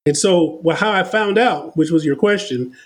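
Brief: American male guest, lively anecdotal style, slightly high voice. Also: normal speed. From United States. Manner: animated, uses analogies, repeats words when starting thoughts. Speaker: podcast guest. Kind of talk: conversational storytelling.

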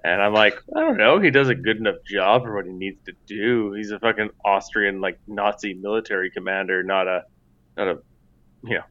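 A man's voice: lowish voice, brisk, fairly bright tone, highs cut off. A man co-hosting a casual podcast, rambling and profane, laughing often. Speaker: podcast co-host. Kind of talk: casual chat.

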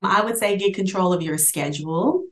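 A woman's voice: mid-range voice, a bit bright. Middle-aged woman in an echoey room. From Hawaii. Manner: brisk, affirming backchannels. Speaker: guest, managing broker. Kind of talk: casual interview.

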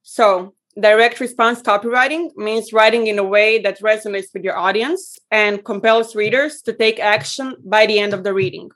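A woman's voice: mid-range voice, fairly even intonation, normal speed, hardly any pauses, fairly bright tone. A woman from Croatia, a copywriter and podcast guest, explaining her work in a friendly way with light laughs. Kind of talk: interview answers.

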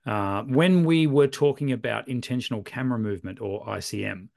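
A man with an Australian accent, hoarse and croaky, co-hosting a casual photography podcast and rambling enthusiastically. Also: medium pitch, a little fast, a little mellow. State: hoarse from overuse. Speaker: podcast co-host. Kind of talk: casual chat.

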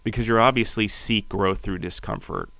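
Podcast host guy, lowish voice, bright and clear, kinda rambles his questions. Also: huge pitch swings. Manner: rambling, fast-talking questions. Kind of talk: casual interview questions.

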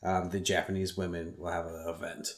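Chill podcast guy, kinda low voice, laughs a lot, trips over names. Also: flat delivery, brisk. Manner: easygoing, laughs often, stumbles over names. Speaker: podcast co-host. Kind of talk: casual podcast chat.

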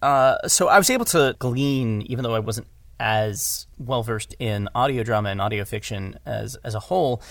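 A man's voice: medium pitch, normal speed, gravelly, dry recording. A man talking casually in an interview as an audio drama director, rambling and often correcting himself with emphasis.

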